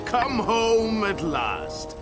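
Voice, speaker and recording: A scheming game villain, a vizier hungry for power. He speaks pompously in a high register with huge theatrical swings. Loud music swells behind. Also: big pitch swings, full character voice. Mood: smug, gloating. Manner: pompous, drawn-out, savouring words.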